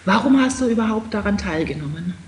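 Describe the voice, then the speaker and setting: A woman's voice: medium pitch, animated, fast talker, slight background noise. Woman asking encouraging interview questions. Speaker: interviewer, seminar leader.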